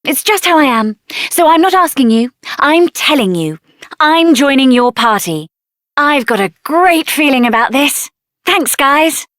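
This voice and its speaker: Game heroine: young female mage, high and lively voice, assertive, sassy, dry close recording. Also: a little slow, no echo. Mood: earnest, determined. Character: spirited, headstrong young female mage adventurer. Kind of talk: video game character voice acting.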